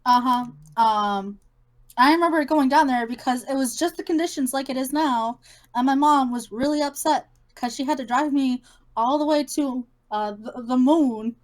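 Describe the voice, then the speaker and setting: A woman's voice: sits high, moderate pace. A woman, a guest in a casual chat, giving brief, playful replies.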